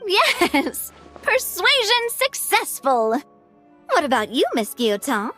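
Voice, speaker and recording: A woman's voice: extremely high pitch, very animated, slow, pushed and bright, full character voice. A woman voicing a playful, enthusiastic young female tabletop-game fan in an anime video game. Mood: playful excitement. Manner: giggly, enthusiastic. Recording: music playing behind the voice.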